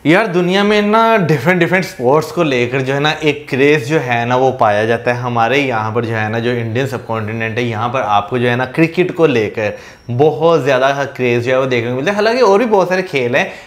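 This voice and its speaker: A man's voice: medium pitch, fairly quick. A man in his thirties co-hosting a reaction video, chatting casually in a rambling way full of filler phrases, recorded on a lapel mic indoors. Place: indoor studio with colorful note wall.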